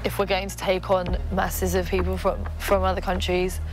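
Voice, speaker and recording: Lowish, even-toned woman over music. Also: moderate pace. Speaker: street interviewee. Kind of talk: giving considered opinions in an interview. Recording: music behind the voice.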